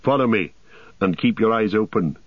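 A man's voice: lowish voice, lively intonation, moderate pace, no room sound, narrow, tinny audio. Man playing a grave, commanding ranger. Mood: resolute. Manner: formal, authoritative. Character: grave, commanding male ranger, leader of travellers.

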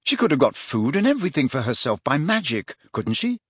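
A man's voice: on the high side, very animated, measured pace, gravelly, a bit bright, slightly rough, dry recording, studio quality, pitched above the performer's own voice, full character voice. A man voicing an earnest, impatient teenage boy wizard in an audiobook, blunt and questioning. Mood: indignant, impatient. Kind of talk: audiobook character voice.

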